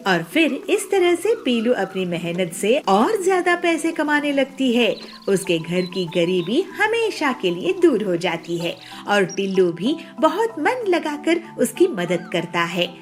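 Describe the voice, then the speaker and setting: A woman's voice: fairly high pitch, sing-song, slow pace, few pauses. A woman giving scripted narration of a children's story as a storyteller. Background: music.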